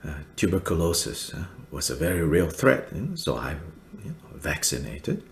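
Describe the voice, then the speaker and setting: A middle-aged man from Malaysia giving a Buddhist teaching, halting and full of fillers, with soft laughs between points. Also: a bass voice, sing-song, gravelly, slight background noise. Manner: slow, halting, many fillers, laughs softly. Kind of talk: dharma teaching commentary.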